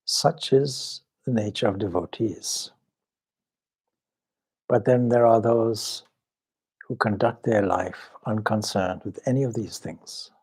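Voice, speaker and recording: A man leading a guided meditation as a swami, speaking solemnly and measuredly in short phrases with long pauses. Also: lowish voice, a little mellow, slightly rough. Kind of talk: spiritual talk and guided meditation.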